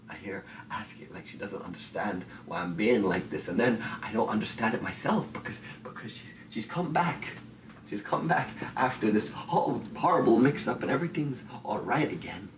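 A man acting a dramatic stage monologue as a grieving, haunted man, speaking haltingly and intensely with repeated phrases. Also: low voice, fairly bright tone, a little hiss or hum. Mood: anguished, desperate. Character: grieving haunted man tormented by dreams of lost woman. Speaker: stage actor. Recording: echoey room, distant microphone.

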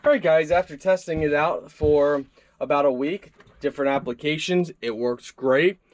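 A man's voice: on the high side, moderate pace, no echo. A young man giving a casual product review at his desk, walking through the features conversationally with a few fillers. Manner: relaxed, conversational walkthrough with fillers. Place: indoor desk workspace.

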